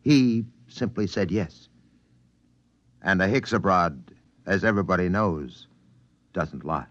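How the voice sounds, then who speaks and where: An actor playing a calm, shrewd, plain-spoken spacefaring man in a 1950s radio drama. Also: low voice, slow, no room sound. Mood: calm, knowing. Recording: dull, dry vintage radio recording.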